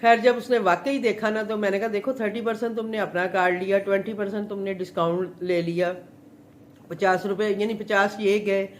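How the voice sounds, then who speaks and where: A woman's voice: mid-range voice, steady tone, normal speed. A middle-aged woman talks on a live stream from her home kitchen. Her throat is a little sore. She rambles with plenty of fillers, and there is a faint hum of room noise behind her. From Pakistan. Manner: chatty, rambling, many fillers. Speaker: home chef. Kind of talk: casual live chat.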